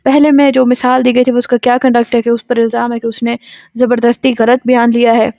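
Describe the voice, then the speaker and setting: A woman's voice: a little high, little pitch movement, brisk, mellow. A woman with a Pakistani accent lecturing on law, reading out legal text and explaining it didactically, repeating and rephrasing points. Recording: dull lo-fi sound, roomy echo.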